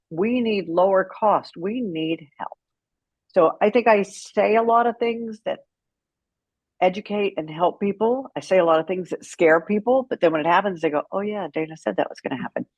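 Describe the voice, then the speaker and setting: A woman's voice: medium pitch, animated, moderate pace, a little mellow, no echo, lo-fi sound. A woman speaking as an interview guest, telling her story in a candid, outspoken and emphatic way. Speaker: guest, author and caregiver advocate.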